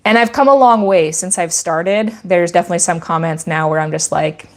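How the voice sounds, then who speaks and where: A woman's voice: on the low side, fairly quick. A woman with a Canadian accent who hosts a channel about her goat farm, chatting in a rambling, teacherly way during a livestream Q&A. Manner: rambling with frequent restarts. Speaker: goat farmer and channel host. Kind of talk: casual livestream chat and Q&A.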